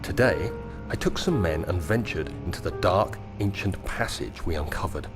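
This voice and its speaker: A deep-voiced 19th-century explorer reads his journal aloud in a measured, formal game narration. His delivery is grave and steady, and music plays beneath him. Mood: uneasy. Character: 19th-century male explorer writing a diary. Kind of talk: scripted diary narration.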